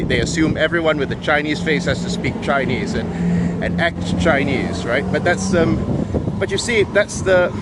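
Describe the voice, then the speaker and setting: Man, Malaysian Peranakan English accent, emphatic and wry monologue, higher pitch, clear street noise behind. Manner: emphatic, wry, with frequent restarts. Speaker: video essayist. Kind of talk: monologue to camera.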